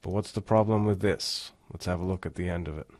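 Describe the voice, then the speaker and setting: A man giving a casual, step-by-step software walkthrough as an instructor. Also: sits low, steady tone, a little fast. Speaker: tutorial instructor. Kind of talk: screen-recorded software tutorial, casual walkthrough.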